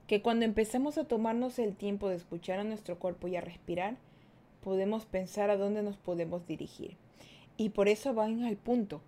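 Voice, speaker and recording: A playful, chatty woman, hosting a casual live podcast. She talks easily and directly to her audience in a mid-pitched voice, reading their comments aloud as she goes. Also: warm tone. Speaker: podcast host.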